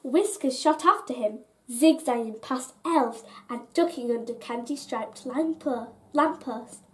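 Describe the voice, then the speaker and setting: A woman reading a children's bedtime story aloud in an expressive, deliberate way, as a storyteller. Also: sits high.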